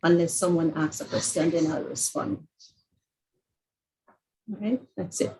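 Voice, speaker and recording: A woman's voice: fairly low pitch, steady tone, unhurried, crowd noise. A woman student giving a short, plain introduction of herself on a video call. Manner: plain, brief self-introduction.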